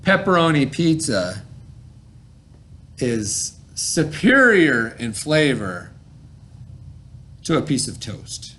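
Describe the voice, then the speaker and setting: A man's voice: mid-range voice, big pitch swings, takes it slowly, long pauses, sharp tone. A man speaking as a schoolteacher in an informal lesson for a child, explaining things conversationally and checking for understanding. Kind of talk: informal read-aloud and vocabulary lesson for a child.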